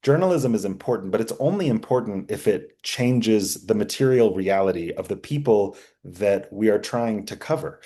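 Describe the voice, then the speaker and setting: A young man teaching a class, talking earnestly with many fillers, restarts and pauses. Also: lowish voice, animated, warm tone. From United States. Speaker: journalism instructor. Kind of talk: class lecture with slides.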